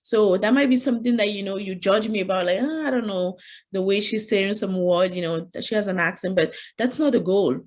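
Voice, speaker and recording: A woman's voice: medium pitch, a little fast, darkish tone. A woman with a French accent presenting an interactive online leadership workshop, speaking conversationally and often saying "you know", standing at her computer on a video call.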